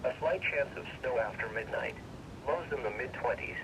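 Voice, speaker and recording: A man's voice: mid-range voice, normal speed. A male weather radio announcer with a synthesized, formal and impersonal delivery, reading a scripted forecast over the radio. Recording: noisy, distant-sounding weather radio broadcast.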